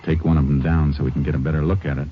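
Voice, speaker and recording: Decisive lawman, 1950s radio drama, very deep pitch, businesslike delivery, dull vintage sound. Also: little pitch movement, racing, slight background noise. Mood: terse, watchful. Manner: terse, businesslike, matter-of-fact. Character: steady, decisive Texas Ranger leading a manhunt. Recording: muffled old 1950s radio broadcast, music.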